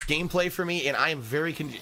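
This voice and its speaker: Thirties man streaming a game, unsure and rambling, high lively voice, hiss behind him. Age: 30s. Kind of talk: live gameplay commentary.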